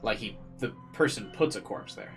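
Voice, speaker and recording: A man's voice: lowish voice, expressive, normal speed. A man playing through a visual novel, reading the text aloud and adding casual commentary. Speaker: let's player. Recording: faint game music behind.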